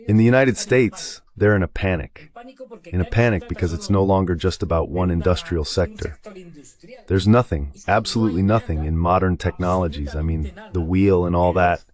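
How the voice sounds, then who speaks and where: A man's voice: low-pitched, moderate pace, darkish tone. A man giving conversational political commentary in an emphatic, repetitive, colloquial way, as a synthetic translation voice-over.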